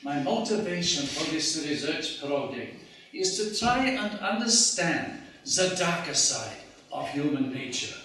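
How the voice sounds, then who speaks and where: A man's voice: a little high, very animated, measured pace, sharp tone, full character voice. A man playing an earnest German professor who lectures on human nature, with a German accent. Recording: echoey room, distant mic, faint hiss.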